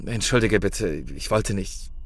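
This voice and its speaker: A man acts out a friendly, nervous contestant in a horror audio play. His voice is on the low side. He coaxes and placates, often hesitating and stumbling over his words. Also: fairly even intonation, measured pace, no room sound, close to an everyday voice. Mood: uneasy, puzzled. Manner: hesitant, stammering, coaxing. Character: friendly, placating, anxious male reality-show contestant. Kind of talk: German horror audio drama acting.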